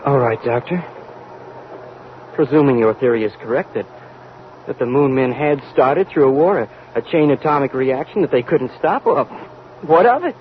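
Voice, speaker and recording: A man's voice: mid-range voice, lively intonation, moderate pace, lightly acted. A man acting a dutiful, anxious rocket crewman in an old-time radio drama, deferential and earnest. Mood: skeptical. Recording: muffled, faintly noisy old radio recording.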